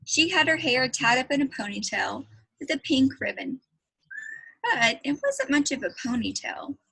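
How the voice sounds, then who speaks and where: A woman's voice: sits high, sing-song, normal speed, creaky, sharp tone, breathy edge, no room sound, rough recording. A woman reading a children's book aloud as a teacher would, telling the story as narrator.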